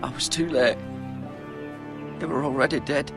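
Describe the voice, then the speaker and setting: An actor playing a wounded young squire in a fantasy game drama, earnest and grim, speaking in terse phrases. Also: male voice, fairly high pitch, fairly even intonation, normal speed, creaky, over music. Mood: grief-stricken. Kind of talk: dramatic video game acting.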